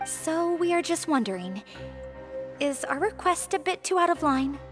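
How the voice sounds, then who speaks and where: High-pitched, earnest young prisoner bride. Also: female voice, moderate pace, over music. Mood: polite, hopeful. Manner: courteous, expressive. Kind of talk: game dialogue.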